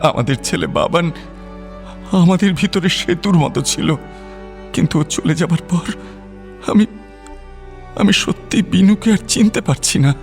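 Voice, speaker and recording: A man acting in a radio drama as a distraught, anxious husband and bereaved father, pleading haltingly and repeating his phrases. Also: high-pitched, soft background music. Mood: grieving, bewildered.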